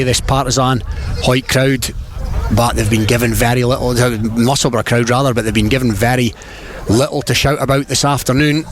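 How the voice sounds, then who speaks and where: A man with a Scottish accent giving live radio play-by-play rugby commentary in a measured, descriptive and colloquial way. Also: mid-range voice. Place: outdoors at a rugby ground. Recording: outdoor live broadcast, loud crowd and noise. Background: spectator crowd, outdoor ambient noise.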